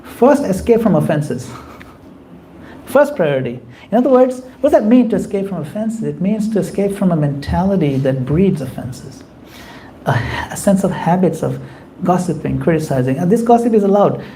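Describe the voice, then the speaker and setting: A man leading a devotional class and answering questions in an earnest, kindly advising manner. His voice sits a little high and sounds mellow. There is a faint hiss behind him. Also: expressive, normal speed. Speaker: class leader taking questions. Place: community temple class.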